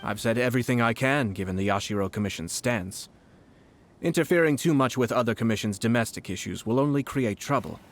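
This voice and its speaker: A man voicing a calm, shrewd young nobleman in a video game who speaks in a measured, analytical way. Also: medium pitch, a little hiss or hum, natural voice. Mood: composed. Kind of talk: game voice acting. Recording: game audio over loud background music.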